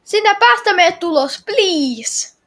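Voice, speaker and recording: A woman playing a game and reacting out loud in a dramatic, exclamatory, pleading way. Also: very high-pitched, expressive. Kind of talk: excitable reactive gameplay chat.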